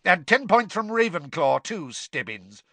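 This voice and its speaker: A man's voice: mid-range voice, wildly swooping pitch, normal speed, fairly bright tone, no room sound, pitched above the performer's own voice. A man doing a curt, snarling teacher. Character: cold, curt, ill-natured male teacher.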